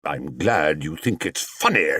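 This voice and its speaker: A pompous, theatrical stage actor with a booming, dramatic voice, put on by a male storyteller. He swings wide and loud, gruff and grand by turns. Close, dry reading. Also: sing-song, measured pace, dry recording, heavily acted.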